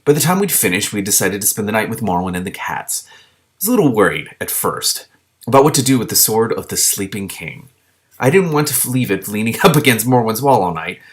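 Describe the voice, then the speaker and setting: A man reading a children's fantasy novel aloud, with an expressive, informal delivery and casual commentary. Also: fairly low pitch, a little fast. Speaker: reader of a book with commentary.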